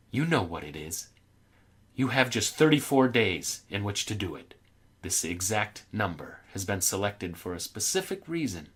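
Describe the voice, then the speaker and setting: An actor playing a menacing, contemptuous male blackmailer, reading a threatening letter in an accusatory, deliberate manner. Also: lowish voice, fairly bright tone.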